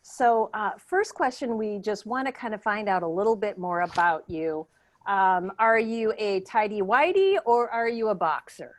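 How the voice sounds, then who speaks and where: A woman's voice: medium pitch, animated, normal speed, natural voice. A woman playing a tired, politely upbeat job interviewer in improvised sketch comedy, hesitant and rambling.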